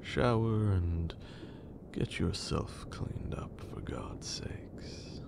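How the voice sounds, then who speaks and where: Vampire lord, played by a man: deep, half-whispered, haughty, amused menace, ASMR roleplay. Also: heavily acted. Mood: dry, condescending. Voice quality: breathy, creaky low voice. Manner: slow, condescending, theatrical, archaic wording.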